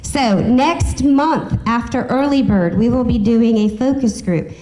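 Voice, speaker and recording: A woman's voice: fairly high pitch, unhurried, warm tone, rough recording. A woman chatting to a group as she makes informal announcements as host of a business meeting. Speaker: chamber of commerce host.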